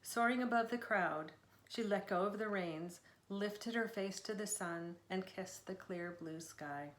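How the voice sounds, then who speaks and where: A woman author reading narrative prose from her book in a measured, expressive storytelling manner. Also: medium pitch. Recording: echoey room sound.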